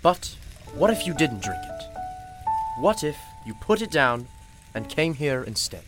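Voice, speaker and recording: A man playing a kindly, wise and slightly mysterious shopkeeper in a radio play, speaking in a formal, measured, reassuring way. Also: a little high, big pitch swings, music in the background. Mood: gentle, reassuring.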